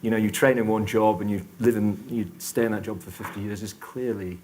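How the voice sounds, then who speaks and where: Low-voiced hesitant male panelist. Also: steady tone, a little fast, darkish tone, poor audio. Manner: hesitant, many fillers and restarts. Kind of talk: panel discussion.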